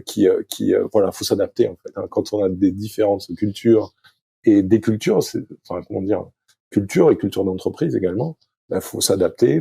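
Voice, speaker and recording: A middle-aged French-speaking man, a sailing coach as podcast guest, talking slowly with many fillers and restarts. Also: fairly low pitch, creaky, mellow, slightly rough, no echo. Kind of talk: podcast interview, thinking aloud.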